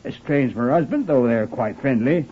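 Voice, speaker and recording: An actor playing a plain-spoken village chief constable with a British accent, matter-of-fact and deferential, in an old radio drama. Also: male voice, medium pitch, animated, moderate pace, slightly rough. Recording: dull, faintly noisy old radio recording.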